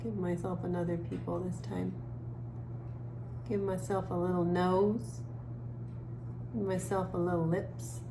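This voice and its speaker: A woman giving a casual art lesson for children, musing gently aloud as she draws. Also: lowish voice, level tone. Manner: gentle, musing, talks while drawing with trailing pauses. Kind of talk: casual creative drawing lesson, thinking aloud. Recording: echoey room with clear background noise.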